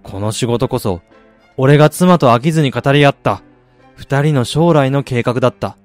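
A man acting in a radio drama as a driven businessman and husband, telling his life story in a measured, reflective way. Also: mid-range voice, no room sound, natural voice. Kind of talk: radio drama monologue, literary narration. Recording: music bed behind the voice.

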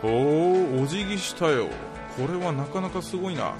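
A man's voice: fairly high pitch, lively intonation, normal speed, over music, natural voice. A man playing a skeptical, bossy lab chief with a casual, bemused manner in a comedic radio drama. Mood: impressed, curious. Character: gruff, skeptical male lab chief, easily impressed.

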